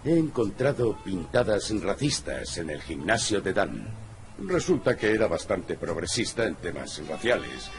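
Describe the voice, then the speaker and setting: An actor playing a blunt, world-weary cat private detective in a video game, with a gravelly voice. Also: male voice, low-pitched, big pitch swings, measured pace, slight background noise. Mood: businesslike. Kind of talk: video game voice acting, noir.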